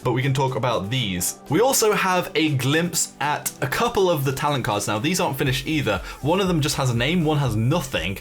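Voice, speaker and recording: A man's voice: medium pitch, fairly bright tone. Man doing chatty game commentary over music. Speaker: gaming video host.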